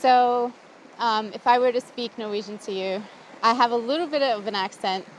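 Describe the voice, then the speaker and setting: Chatty American woman vlogging outdoors; a touch high, quick to laugh, faint outdoor hiss behind. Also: poor audio. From USA. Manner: chatty and giggly, talking to camera while cooking. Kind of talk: casual outdoor vlog chat. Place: outdoors by a campfire in the woods.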